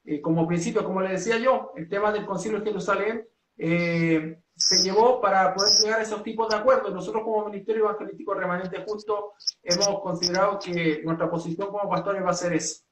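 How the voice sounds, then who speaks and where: A man from Chile, a pastor, setting out his church's principles in an earnest, measured way with many hesitations. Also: sits high, steady tone, roomy sound, distant mic, a little hiss or hum, low-quality recording. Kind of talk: doctrinal statement in a two-way talk.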